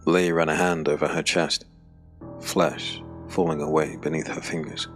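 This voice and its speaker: A man narrating a dark fantasy audiobook drama in measured, weighted phrases. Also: very deep voice, little pitch movement. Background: background music.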